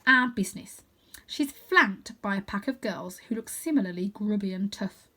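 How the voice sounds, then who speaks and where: A woman reading a children's novel aloud in a dramatic, expressive storytelling style. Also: mid-range voice, normal speed. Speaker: storybook reader.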